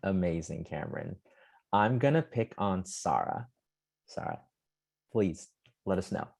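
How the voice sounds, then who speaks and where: A man's voice: low-pitched, wildly swooping pitch, unhurried, vocal fry, a little mellow, husky. A man hosting an online panel in a casual, chummy way, putting questions to guests. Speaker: host of an online community panel call.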